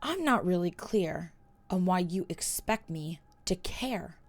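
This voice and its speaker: A woman voicing a cold, taunting young male wizard in a story reading, speaking with quiet, measured menace. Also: on the low side, animated, heavily acted. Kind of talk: reading a fan story aloud.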